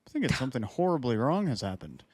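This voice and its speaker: A man's voice: mid-range voice, big pitch swings, fast, slightly rough, dry recording. A man talks casually as a podcast co-host, his voice swinging up and down with lots of variation. It is recorded close and dry. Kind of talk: casual chat.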